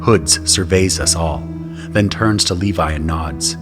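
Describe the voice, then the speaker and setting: A man delivers scripted first-person narration for an audio drama. His voice is very low, and music runs clearly underneath it. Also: measured pace.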